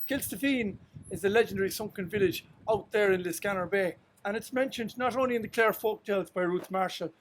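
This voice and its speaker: A male storyteller with a west of Ireland accent talks informally, as a guide on a literary tour would. His voice sits on the high side for a man. The sound is noticeably roomy, with clear echo around the words. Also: slight background noise. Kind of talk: informal guided-tour talk with readings aloud.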